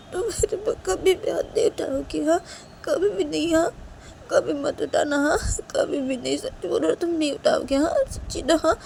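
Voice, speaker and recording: Storytelling role: hurt, clinging young woman played by a woman, high whimpering voice, repetitive pleading, light noise behind. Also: gravelly, a little mellow.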